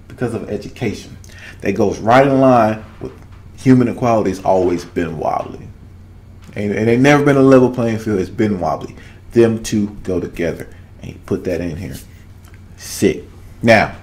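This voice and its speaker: A man in his thirties from Arkansas with a Southern US accent, giving casual, emphatic commentary as a reaction video host and repeating phrases for weight. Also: mid-range voice. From Arkansas, United States. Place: small home room with cloth-draped backdrop. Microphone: desk condenser microphone, headphones on.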